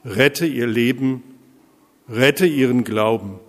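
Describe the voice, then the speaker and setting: A man leading intercessory prayer as a pastor, speaking solemnly in measured, deliberate phrases with pauses. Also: medium pitch. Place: large reverberant church interior. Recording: echoey church hall, long reverb.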